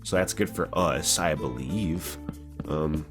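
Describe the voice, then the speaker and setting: Young guy, deep voice, kinda stuffy and sick, rambling chill gameplay talk, music going in the background. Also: takes it slowly. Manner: laid-back, rambling, says "bro" often. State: stuffy from a cold. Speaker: gaming channel host. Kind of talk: casual gameplay commentary.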